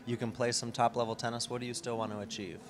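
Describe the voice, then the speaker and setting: A man's voice: medium pitch, little pitch movement, fast. A man interviewing a tennis player in an upbeat way, chuckling often between his questions. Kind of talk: sports interview.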